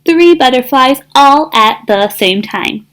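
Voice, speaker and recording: A pushy little storybook duck girl, played by a woman reading aloud, high-pitched and full of herself. She brags and exclaims, drawing out her words to show off. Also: expressive, slow pace, full character voice. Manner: bragging, exclaiming and shouting.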